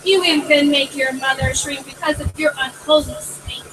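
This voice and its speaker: A woman doing a shrill scolding mother. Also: fairly even intonation, moderate pace, music in the background, natural voice. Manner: shrill, exasperated shrieks. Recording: distant mic, clear background noise.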